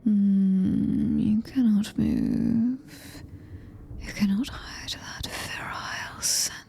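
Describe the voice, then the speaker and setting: Slow, whispery British alien hybrid woman, acted out. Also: medium pitch, mellow, full character voice. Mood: seductive menace. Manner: slow, deliberate, breathy whispering, commanding. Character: possessive, menacing female alien-human hybrid, seductive and predatory. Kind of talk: ASMR horror roleplay.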